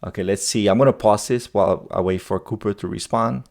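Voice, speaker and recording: A man's voice: on the low side, brisk, vocal fry, a little mellow. A man hosting a tech video, talking informally through a demo and thinking aloud as he goes, often repeating his phrases. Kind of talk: casual tutorial screen demo.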